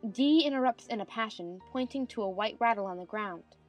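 A woman's voice: mid-range voice, brisk, faint music behind. A woman giving scripted narration of stage directions for a dramatized audiobook.

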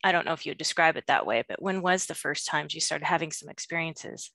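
A middle-aged woman hosting an interview over a video call, asking gentle, measured questions. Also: deep voice, creaky, no room sound. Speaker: podcast host. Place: home room with window. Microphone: video call, webcam mic.

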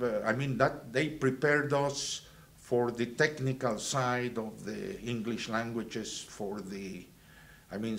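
A man, from Venezuela and raised in Guatemala, recalling his student years in a halting way with many fillers and restarts. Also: mid-range voice, fairly bright tone. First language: Spanish. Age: 70s. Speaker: alumnus interviewee. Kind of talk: reminiscing interview. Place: indoors, plain backdrop.

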